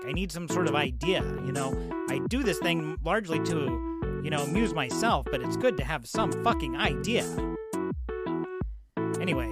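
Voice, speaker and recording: A man hosting a podcast in a casual, informal way, with plenty of swearing. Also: on the high side. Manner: informal, profanity-laced. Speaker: podcast host and interviewer.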